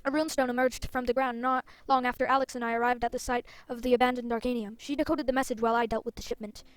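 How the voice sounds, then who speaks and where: A woman's voice: a little high, level tone, fast, dry recording, natural voice. A woman voicing an earnest, brave young heroine in a fantasy game, with an urgent manner. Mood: relieved. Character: earnest, brave young female soul rider.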